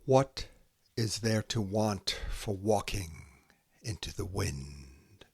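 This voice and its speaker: A man reciting short poems as a host, in a measured, deliberate way with short, spare phrases. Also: fairly low pitch, animated, gravelly, darkish tone, husky, no echo, studio quality. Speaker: podcast host reading poetry. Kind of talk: slow, spare haiku recitation.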